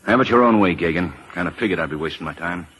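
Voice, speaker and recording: Deep-voiced, wry American lawman. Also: fast talker, a bit bright, slightly rough. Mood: dry resignation. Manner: folksy understatement. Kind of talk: 1940s radio acting. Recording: reverberant old radio broadcast.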